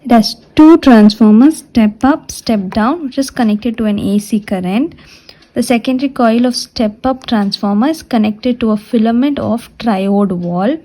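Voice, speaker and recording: A woman giving a step-by-step technical lecture, restating her points deliberately as she explains. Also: a little high, mellow, low-quality recording. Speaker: physiotherapy lecturer.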